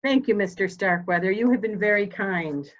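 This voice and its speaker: A woman's voice: mid-range voice, normal speed, natural voice. A woman playing a composed, formal older mother in a mystery drama reading, precise and guarded, in slightly dull sound. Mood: cool, guarded. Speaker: amateur reader, library staff.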